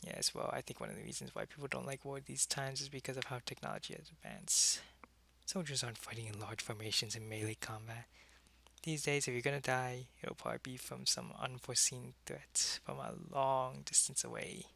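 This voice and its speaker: A man playing a wistful, friendly, centuries-old vampire in an intimate, soft-spoken ASMR roleplay, talking casually and often slipping toward a whisper. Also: mid-range voice, lively intonation, fairly quick, vocal fry, exaggerated voice. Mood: reflective, grim.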